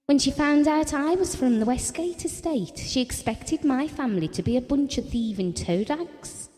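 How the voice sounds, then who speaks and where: Devoted wife, lively and high-voiced. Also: female voice, moderate pace. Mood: wry. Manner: confiding, colloquial storytelling. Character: devoted working-class wife Ellen, wistful and adoring. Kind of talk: audio drama monologue. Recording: long reverberant hall sound.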